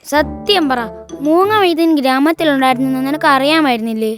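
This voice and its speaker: Plucky, quick-witted childlike animal for a kids' cartoon female actor, high-pitched, over music. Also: natural voice. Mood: questioning, reproachful.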